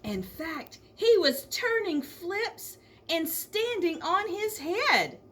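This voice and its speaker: A woman reading a children's storybook aloud like an elementary teacher, speaking expressively to young listeners with drawn-out emphasis. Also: very high-pitched, very animated, a bit bright, slight background noise.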